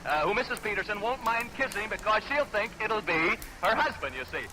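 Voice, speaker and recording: American male, high voice, 1940s radio quiz host, showman patter, noisy vintage broadcast. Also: lively intonation, a little fast. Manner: chatty, showman-like patter with repeated catchphrases. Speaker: radio game show host. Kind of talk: 1940s live radio quiz show hosting. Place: live radio stage.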